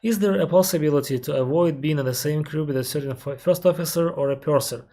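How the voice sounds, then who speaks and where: A man with a Russian accent, an airline captain on a live stream, reading out viewer questions and answering them carefully, often pausing with "uh" and restarting his sentences. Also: fairly high pitch, mellow. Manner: careful, explanatory, pauses with frequent "uh" and restarts; reads viewer questions aloud, then answers at length.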